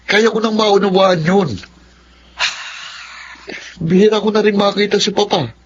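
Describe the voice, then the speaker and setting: A man's voice: high voice, steady tone, a little slow. An actor playing a concerned, questioning son in his twenties, in a Filipino radio drama style. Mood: earnest, insistent. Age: 20s. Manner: earnest, questioning. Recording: muffled, faintly noisy radio drama audio.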